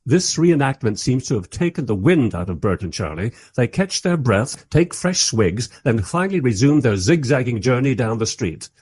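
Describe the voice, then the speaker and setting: An actor playing a wry, curious time traveller who narrates a radio play in the first person and speaks in its dialogue. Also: male voice, mid-range voice, very animated, normal speed, few pauses, darkish tone, husky, dry recording. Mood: animated. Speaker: narrator and lead character.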